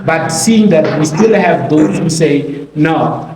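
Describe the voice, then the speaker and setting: Animated man telling stories. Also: on the high side, takes it slowly. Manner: animated, acts out quotes and hums melodies. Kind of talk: storytelling in casual conversation. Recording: roomy room with faint noise.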